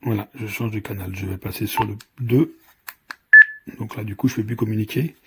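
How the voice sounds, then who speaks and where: A man giving an unscripted, step-by-step product demonstration as a reviewer, with a hesitant, halting delivery and frequent self-interruptions. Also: lowish voice, fairly even intonation. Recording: clearly noisy recording with clicks.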